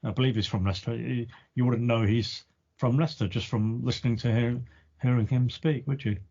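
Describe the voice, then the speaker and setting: An Englishman with a soft, neutral Midlands accent talks in an easygoing way, pausing to think as he goes. His voice sits at an ordinary middle pitch over dull, lo-fi audio. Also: steady tone. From East Midlands, England. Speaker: podcast co-host. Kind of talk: casual chat.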